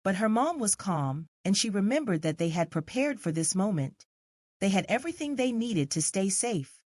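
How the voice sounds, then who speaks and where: A woman's voice: medium pitch, very animated, moderate pace, a little mellow, no room sound. A woman reading scripted narration for a children's story as the narrator.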